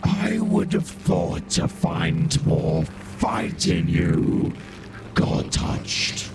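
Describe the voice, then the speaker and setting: A man playing a huge, coldly mocking reptilian demon in a horror audio drama, with a gravelly growl and slow, condescending delivery. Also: sits low, huge pitch swings, heavily acted. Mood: mocking disappointment. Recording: dramatic music behind the voice.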